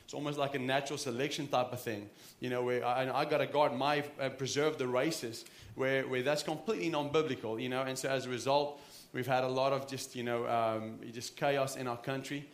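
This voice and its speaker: A man's voice: medium pitch, steady tone, a little fast, few pauses, reverberant. A man in his thirties with a South African accent, preaching an earnest, conversational sermon with frequent restarts. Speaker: pastor. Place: church sanctuary.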